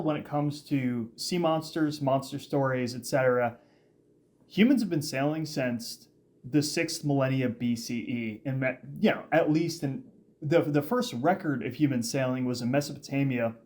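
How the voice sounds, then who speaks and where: A man co-hosting a casual podcast, talking excitedly and rambling with frequent restarts and fillers. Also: medium pitch, normal speed. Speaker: podcast co-host. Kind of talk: casual chat.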